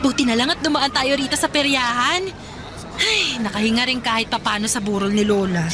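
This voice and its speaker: A woman plays a mischievous cousin in her thirties in a Filipino radio drama. Her high voice is playful and cheeky, full of teasing. Clear ambient noise sits behind her. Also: fairly quick, close to an everyday voice. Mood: sardonic.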